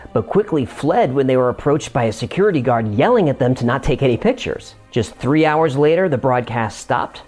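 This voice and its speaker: A male host narrates a scripted explainer. His voice sits at an ordinary middle pitch, and faint music runs under his conversational delivery. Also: expressive, fairly quick, hardly any pauses, darkish tone.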